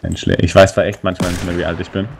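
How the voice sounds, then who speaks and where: A man in his twenties co-streaming a game, excitedly calling out fights and reading out donations in casual chat. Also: low voice, quick pace, creaky. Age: 20s. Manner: excitable, shouts at game action, reads donations aloud. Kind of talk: casual gaming livestream chat. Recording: noisy game sounds and gunfire behind.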